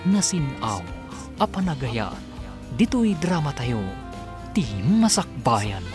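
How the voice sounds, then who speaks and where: A man's voice: a little high, very animated, slow. A man with an Ilocano Filipino accent reading scripted, dramatic narration as announcer of a radio drama. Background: music.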